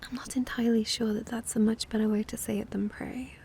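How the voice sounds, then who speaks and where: Woman playing a mellow, creaky, prim vampire mistress. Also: on the high side, little pitch movement, fairly quick, a little hiss or hum. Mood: dismissive. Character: naive, prim, haughty female vampire mistress. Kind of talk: ASMR roleplay acting.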